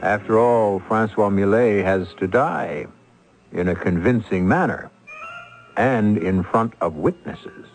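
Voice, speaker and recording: A man hosting a radio drama with scripted theatrical narration, urbane and wry, in measured phrases. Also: on the low side, soft background music.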